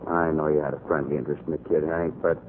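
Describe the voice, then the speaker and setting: A man's voice: a bass voice, flat delivery, quick pace. A man playing a callous, jaded police lieutenant with a brusque, dismissive manner in 1940s radio drama style. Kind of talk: 1940s noir radio acting. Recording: muffled, noisy 1940s radio recording.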